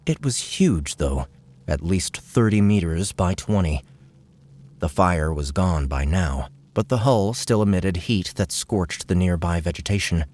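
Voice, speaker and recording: A man's voice: sits low, lively intonation, measured pace, a little mellow. A man narrating an audiobook in a scripted, dramatic storytelling style.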